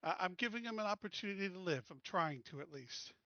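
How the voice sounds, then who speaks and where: A man's voice: high-pitched, animated, fast, sharp tone, no echo, dull audio. A man playing a tabletop game, earnestly reasoning aloud in casual group chat.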